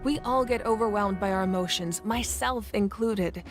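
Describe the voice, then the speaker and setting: A woman playing a composed, dignified leader in a video game, wise and reassuring, with a measured, earnest delivery. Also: mid-range voice, over music, close to an everyday voice. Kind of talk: video game character voice acting.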